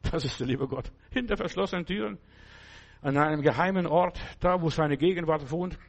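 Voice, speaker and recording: A man of middle years delivers a sermon in a slightly higher-than-usual voice. He speaks in short bursts, jumping in pitch and loudness, and keeps asking his listeners whether they follow. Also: moderate pace. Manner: speaks in short phrases with sudden pitch and volume jumps. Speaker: preacher.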